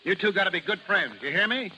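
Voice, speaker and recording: An actor playing a gruff, bossy carnival barker and stern father with slangy, commanding American speech, in 1930s radio style. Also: sits high, expressive, fast, bright, forceful tone, exaggerated voice. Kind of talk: 1930s radio drama acting. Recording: noisy, hissy 1930s radio recording.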